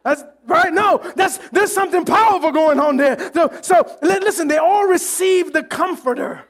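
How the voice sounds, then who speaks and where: A pastor preaching a sermon with animated delivery, joking and acting out the voices of the crowd. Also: male voice, extremely high pitch, moderate pace.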